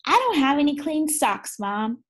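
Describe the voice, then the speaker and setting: A woman voicing a nervous young boy cat in a storybook read-aloud, in a high, fretful voice. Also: sing-song, unhurried. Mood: whiny.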